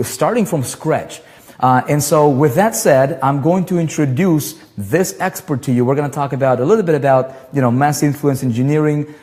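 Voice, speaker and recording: A man hosting an online show, talking with excitement and rambling through many fillers and restarts. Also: mid-range voice, in a large hall. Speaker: host. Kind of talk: livestream chat and interview intro.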